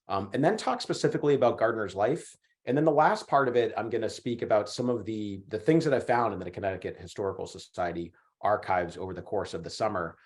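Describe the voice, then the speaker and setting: Hoarse man giving an online lecture. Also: medium pitch. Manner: hesitant, many fillers and restarts. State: hoarse from a chest cold. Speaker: history professor giving a talk. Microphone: computer mic on a video call.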